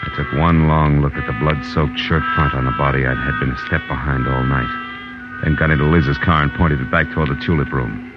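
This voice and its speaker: Deep-voiced hard-boiled radio detective. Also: male voice, fairly even intonation, a little fast. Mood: wry, tense. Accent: American. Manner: hard-boiled, sardonic, clipped. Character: tough, wry American private detective, narrating and questioning. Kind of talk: 1940s radio detective acting. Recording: dull old radio recording with music.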